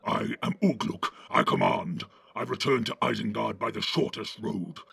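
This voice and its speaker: A man voicing a commanding, boastful big orc captain in a deep, blunt growl for an audiobook. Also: expressive, moderate pace, vocal fry, a bit bright, husky, dry recording, exaggerated voice. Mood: domineering. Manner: blunt, domineering. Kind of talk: audiobook character voice.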